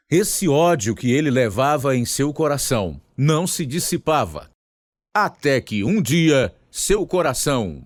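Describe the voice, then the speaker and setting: A man's voice: medium pitch, no echo, clean studio sound. A man with a Brazilian accent giving solemn, formal scripted narration as announcer of a radio drama series. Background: guitar music.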